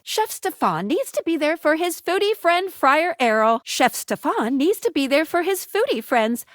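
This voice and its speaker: An actress voicing an upbeat, playful female helper in a video game, perky and expressive as she delivers scripted hints to the player. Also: high voice, no echo, studio quality. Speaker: game character guide giving hints.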